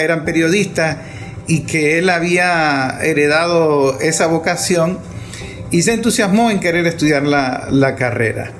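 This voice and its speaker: A middle-aged Venezuelan man addresses an audience as an interviewer. His voice is a little high for a man, and he talks in the style of a personal testimonial. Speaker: journalist interviewer. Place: therapy consulting room.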